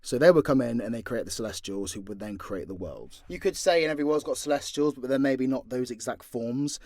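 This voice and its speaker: A man's voice: mid-range voice, animated, fairly quick, darkish tone, dry recording, studio quality. A British man answering fan questions in a casual, unscripted way, blunt and joking, with plenty of swearing. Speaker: voice actor and content creator answering fan questions.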